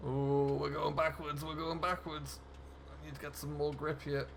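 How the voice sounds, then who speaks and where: A man's voice: on the high side, flat delivery, moderate pace, gravelly. Chatty man commentating over vehicle noise. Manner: chatty, talks himself through the driving. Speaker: gaming video host. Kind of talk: casual role-play gameplay commentary.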